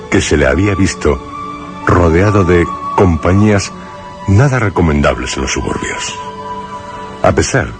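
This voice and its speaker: Super deep narrator, grave and measured, spooky radio play, music behind him. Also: male voice, exaggerated voice. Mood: grave, reflective. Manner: measured, literary, solemn. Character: grave male narrator recalling a troubled friend in suspense tale. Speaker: narrator actor. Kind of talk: scripted radio drama narration.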